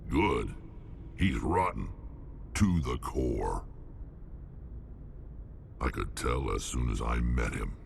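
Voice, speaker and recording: Huge gruff alien merc from a video game, super deep growly voice, blunt and menacing. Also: male voice, lively intonation, slow, long pauses, slight background noise, heavily acted. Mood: contemptuous. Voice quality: gravelly, rumbling. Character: gruff hulking alien mercenary, blunt, menacing, battle-hardened. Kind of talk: video game character dialogue.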